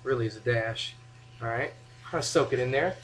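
A man's voice: medium pitch, a little fast, low-quality recording. A young man casually showing how he cooks in a small home kitchen, goofy and rambling as he talks to the camera from a few feet away. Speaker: home cooking vlogger. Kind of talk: casual cooking demo, joking asides. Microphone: camera mic from a few feet away.

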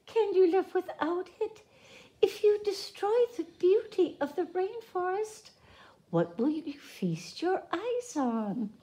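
A woman voicing a slow, gentle female three-toed sloth in a storybook, soft and wondering. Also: very high-pitched, sing-song, voice pushed up, full character voice. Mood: wistful.

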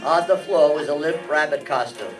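A man's voice: on the high side, moderate pace, natural voice. Wry private detective, played by a man, distant mic. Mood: narrating drily. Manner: deadpan, wry. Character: wry, flirtatious hard-boiled male private detective. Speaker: amateur radio play performer. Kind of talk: old-time radio detective narration and dialogue. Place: meeting room stage before seated audience. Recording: distant room microphone, music behind.

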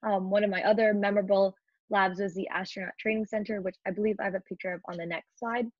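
Teenage female student, informal slide presentation, medium-pitched voice, dry room, muffled lo-fi recording. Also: fairly even intonation, quick pace, dry recording. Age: teens.

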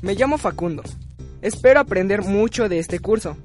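A man's voice: high-pitched, expressive, moderate pace, faint music behind. A male performer playing a smug, sarcastic fourteen-year-old star pupil in a radio drama, speaking pompously.

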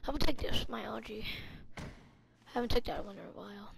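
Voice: medium pitch, quick pace, gravelly, noisy background. A player chatting casually in improvised roleplay during a video game, over a home gaming voice chat.

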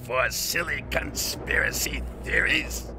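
Game villain: pampered, irritable alien executive, drawn-out contempt, lively pitch, music behind. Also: male voice. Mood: irritated, scornful. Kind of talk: animated game cutscene dialogue.

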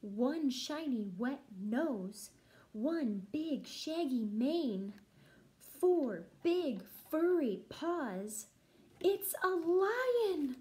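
A young woman, a music teacher, reading a children's picture book aloud in a rhythmic, sing-song, deliberate chant. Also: high voice, sing-song, dark tone. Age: young adult. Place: small room at home, indoors.

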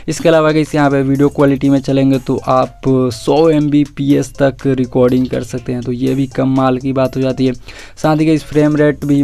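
A man's voice: medium pitch, vocal fry, warm tone. A man giving a casual phone feature walkthrough, mixing English words into informal speech. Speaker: tech reviewer.

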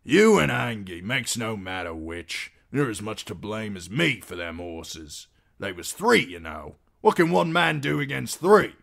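Man playing grumbling innkeeper husband, raised forceful voice. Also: fairly low pitch, big pitch swings, measured pace, vocal fry, pitched above the performer's own voice, full character voice. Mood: defensive, accusing. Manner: aggrieved, folksy ungrammatical speech. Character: harried, grumbling innkeeper husband, defensive and rustic.

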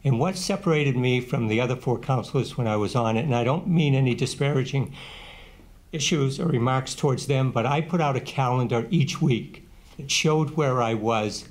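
A man in his seventies, a former councilor, giving a plain-spoken, steady closing statement at a candidate forum, seated at a table microphone in a small meeting room. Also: mid-range voice, normal speed. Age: 70s. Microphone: table microphone on a stand.

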